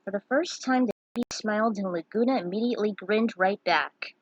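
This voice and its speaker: A woman's voice: medium pitch, fairly quick, slightly rough, dry recording, rough recording. A woman reading a scripted fantasy story aloud as its narrator. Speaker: narrator reading own fan fiction.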